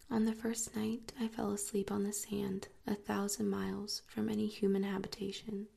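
A woman narrating a story in a soft, steady whisper, reading it like an audiobook. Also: mid-range voice, gravelly, breathy edge.

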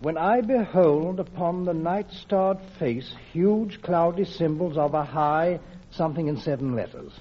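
An actor in a 1940s radio drama playing a gentle, mildly fussy English husband, attentive to his wife and his crossword. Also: fairly high pitch, a little slow, hardly any pauses, slightly rough. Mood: absorbed, affable. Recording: muffled, noisy vintage radio broadcast.

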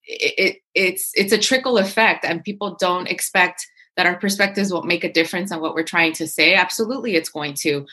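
Woman, 40s, from California, panel guest, earnest, animated, bright forceful tone. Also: lowish voice, fairly quick, hardly any pauses. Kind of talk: candid discussion.

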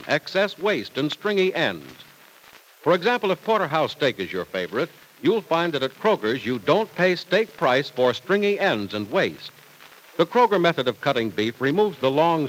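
Casting: male announcer for vintage radio, emphatic scripted sales pitch, mid-range voice with big melodic swings. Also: rough recording.

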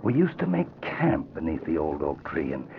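A man's voice: extremely low pitch, wildly swooping pitch, moderate pace, gravelly, full character voice. An actor playing an older American father, proud and eager, with emphatic folksy exclamations in 1940s radio drama style. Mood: wistful. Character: proud, eager old father, former Texas rider. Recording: muffled, noisy vintage radio recording.

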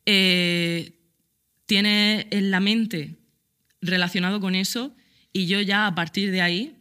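Bright, enthusiastic woman explaining fashion history. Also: medium pitch, fairly even intonation, slow. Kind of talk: casual chat.

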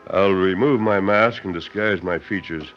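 An actor playing a steadfast, plain-spoken western hero in an old radio drama, with assured cowboy delivery. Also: male voice, deep voice, normal speed, soft background music, natural voice. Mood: resolute, hushed. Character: steadfast masked western hero, posing as a folksy rancher. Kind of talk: 1940s-50s radio western acting. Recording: muffled vintage radio recording.

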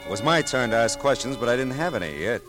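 An actor playing a wry, world-weary private detective who narrates and talks in a deadpan radio drama style. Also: male voice, medium pitch, fast talker, over music, close to an everyday voice. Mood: brooding narration. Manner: deadpan, hesitant asides, dry wit.

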